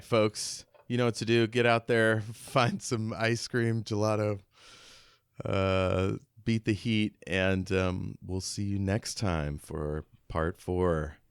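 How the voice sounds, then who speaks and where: A man's voice: fairly low pitch, takes it slowly, clear, resonant tone, dry recording, studio quality. A man co-hosting a podcast in casual, informal chat, with a jokey, self-deprecating manner. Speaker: podcast co-host.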